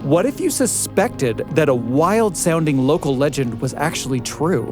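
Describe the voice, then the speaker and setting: A man's voice: fairly high pitch, animated, normal speed, over music. A man co-hosting a podcast in a scripted, conversational introduction. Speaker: podcast co-host.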